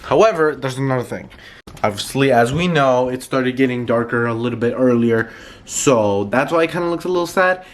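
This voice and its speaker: A man's voice: mid-range voice, expressive, brisk. A teenage boy from New York rambling in a casual home vlog, with a congested, nasal voice and lots of fillers. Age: teens. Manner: rambling, filler-heavy, self-conscious. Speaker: vlogger. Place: home, indoors.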